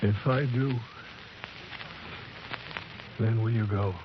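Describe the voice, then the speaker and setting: An actor playing a troubled, wounded young explorer who talks in a tense, halting, pleading way in a 1940s radio drama. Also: male voice, fairly low pitch, fairly even intonation. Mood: tormented. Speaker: character in a vintage radio drama. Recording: muffled, hissy vintage radio recording.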